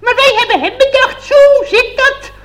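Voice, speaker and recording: Shrill, cackling old witch. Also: moderate pace, sharp tone. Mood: mocking, brash. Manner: mocking, brash, cackling. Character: cackling impudent ancient female witch.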